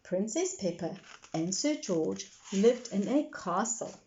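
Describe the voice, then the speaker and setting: A kindly old granny pig from a storybook, read by a woman. She coaxes gently and patiently in a measured, mid-range voice. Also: mid-range voice, expressive, muffled recording.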